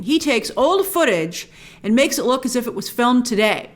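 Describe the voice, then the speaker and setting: A woman hosting an entertainment reaction show, giving excitable, emphatic, chatty and informal commentary. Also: on the high side.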